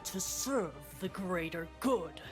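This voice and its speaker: A woman's voice: lowish voice, animated, takes it slowly, over music. A woman playing a proud, menacing imperial soldier in a video game, with a haughty, taunting, dramatic delivery. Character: proud imperial female soldier, menacing older-sister hunter.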